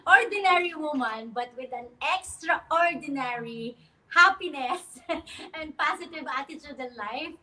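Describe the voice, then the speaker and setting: A woman's voice: high voice, pushed and bright, rough recording. A woman in her thirties from the Philippines, a guest in a casual online interview who laughs often and uses many fillers.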